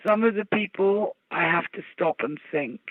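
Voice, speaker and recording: A woman with a Birmingham accent and a gravelly voice, chatting casually and laughing easily. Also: mid-range voice, moderate pace, dry recording. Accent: Birmingham English. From English Midlands. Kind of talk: casual chat. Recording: dull lo-fi dry recording.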